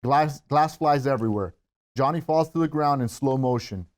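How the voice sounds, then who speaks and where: Male narrator for screenplay table read, medium pitch, reads scene directions. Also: moderate pace.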